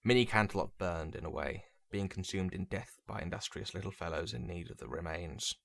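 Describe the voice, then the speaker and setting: A man reading dramatic fiction as a narrator, in a brooding, measured, theatrical way. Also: deep voice, creaky, fairly bright tone. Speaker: story narrator. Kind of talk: dramatic scripted story narration.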